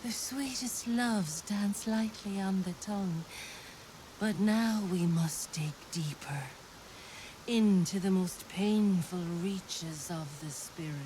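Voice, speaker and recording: A woman playing a teasing, mystical seductress in a fantasy video game, speaking slowly with theatrical pauses. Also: mid-range voice, warm tone, a little hiss or hum, lightly acted, rough recording. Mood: sultry, probing. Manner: slow, theatrical, breathy dramatic pauses.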